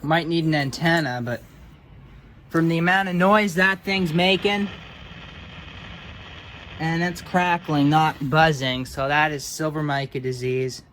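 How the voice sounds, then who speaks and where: Casting: male hobbyist repairer, lightly raised pitch, casual muttered demo style, faint background noise. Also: a little slow, pauses often, fairly bright tone. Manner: offhand, muttering to himself, mild swearing. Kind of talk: casual hands-on demonstration commentary.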